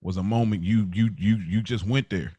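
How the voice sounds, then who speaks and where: A man's voice: fairly low pitch, moderate pace, dark tone, husky, no room sound. An American man hosting a podcast in casual chat, speaking earnestly and often doubling back on his words. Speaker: podcast host.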